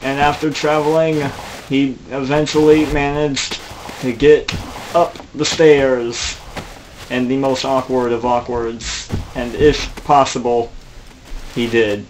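A man's voice: mid-range voice, level tone, slow pace, slight background noise, poor audio. A man who narrates his own comedy video over game footage, rambling and mocking himself, with a lot of fillers.

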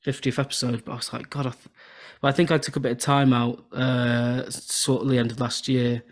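An English man in his thirties from southern England, hosting a podcast over a video call with a soothing, relaxed cadence. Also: mid-range voice, a little fast. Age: 30s. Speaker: podcast host. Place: home room with shelves and lights. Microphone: podcast microphone on video call.

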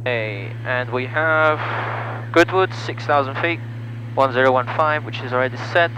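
A man in a light aircraft cockpit, working through checks in a calm, even, methodical manner at a medium pitch. He speaks through a headset intercom. A loud engine drone sits behind his voice. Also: a little slow, highs cut off, noisy background. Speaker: trainee pilot. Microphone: aviation headset.

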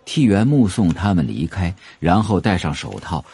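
A man narrating a novel for an audio drama in a measured, atmospheric storytelling manner. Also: low-pitched, sing-song, dark tone, breathy edge.

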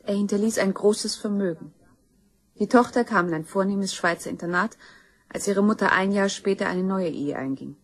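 Woman playing determined heiress, husky mid-pitched voice, cool, measured storytelling, radio crime drama. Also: natural voice.

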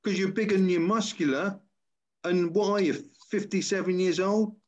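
A man's voice: sits high, steady tone, normal speed, darkish tone. A middle-aged man chatting casually on a video call like a motivational trainer, repeating his phrases to encourage. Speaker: personal trainer and interviewer. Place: small room indoors. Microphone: computer on a video call. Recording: dull lo-fi video-call audio.

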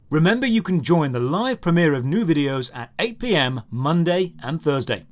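A man hosting a scripted game review in a sarcastic way, with theatrical emphasis on key words. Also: high-pitched. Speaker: video series host.

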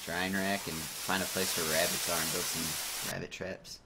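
A man's voice: low voice, flat delivery, moderate pace, heavy background noise. A man in his thirties with an American accent, joking playfully while streaming a co-op game on a headset. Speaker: gaming streamer. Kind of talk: casual co-op gameplay chat. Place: indoor room at a computer.

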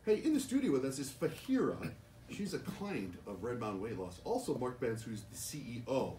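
A man's voice: medium pitch, sing-song, moderate pace, warm tone. A man in his forties hosting a morning radio show, talking loosely with a guest in a studio. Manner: loose, conversational. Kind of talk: radio interview. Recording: echoey studio, far camera mic, noisy.

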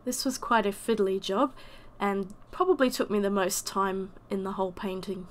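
A woman with a croaky voice from a summer cold, chattily narrating a watercolour painting voice-over. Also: mid-range voice, steady tone, normal speed. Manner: chatty, self-deprecating. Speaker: watercolour artist.

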